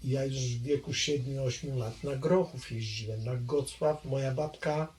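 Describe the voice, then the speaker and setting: A middle-aged man from Poland, slow and reflective, recalling his childhood in an oral history interview with many pauses. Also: medium pitch, steady tone, darkish tone, slight background noise. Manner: hesitant, reflective, trailing off.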